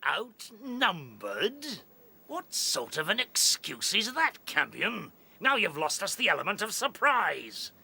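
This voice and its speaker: A battle-hardened rabbit general from a radio drama. He commands with open contempt and menace, in a hard, piercing voice that swings widely in pitch. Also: huge pitch swings, slow, creaky, sharp tone, husky, exaggerated voice. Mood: scornful anger.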